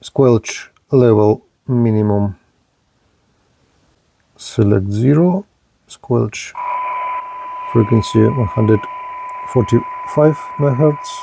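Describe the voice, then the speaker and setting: A man's voice: medium pitch, takes it slowly, creaky, long pauses, background noise. A man narrating a hands-on radio equipment test as a reviewer, speaking in short clipped phrases. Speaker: product reviewer.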